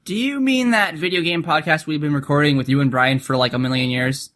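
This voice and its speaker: A man's voice: fairly high pitch, a little fast, a bit bright. A man co-hosting a podcast, narrating a comic skit and chatting casually with dry humor. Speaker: podcast co-host.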